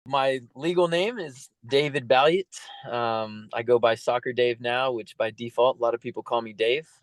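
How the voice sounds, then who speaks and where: A man's voice: mid-range voice, normal speed. A man in his thirties from the United States, talking earnestly and reflectively as a podcast guest, with many fillers. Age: 30s. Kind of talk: casual interview talk.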